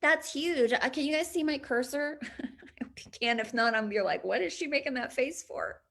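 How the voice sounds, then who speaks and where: Upbeat chatty woman, slightly raspy voice. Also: high-pitched, moderate pace, a bit bright. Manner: upbeat, chatty, frequent laughs and throat clearing. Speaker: business coach. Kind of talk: online training presentation.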